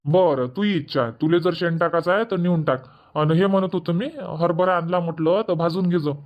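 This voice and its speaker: A man's voice: on the high side, normal speed, dull audio, lightly acted. A man voicing an evasive village farmer husband in a dubbed cartoon comedy, grumbling and bossy.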